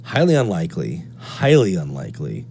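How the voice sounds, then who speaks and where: A deep-pitched man with an American accent talks through a technical explanation as a hobby host. He is methodical and unscripted, restating his main points. A light noise and hum sit behind his voice. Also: a little fast, creaky. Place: indoor room at a radio desk. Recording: steady background noise and hum.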